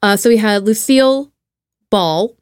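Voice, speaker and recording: A woman hosting a podcast, chatting and asking questions in an informal, slangy, expressive way. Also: mid-range voice, unhurried, smooth. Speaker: podcast host. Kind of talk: casual chat, interviewing.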